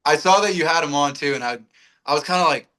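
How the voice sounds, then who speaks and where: Bright-voiced slangy young man chatting. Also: on the high side, fast talker. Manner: slangy, rambling, self-deprecating. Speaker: podcast guest, radio on-air personality. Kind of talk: casual chat.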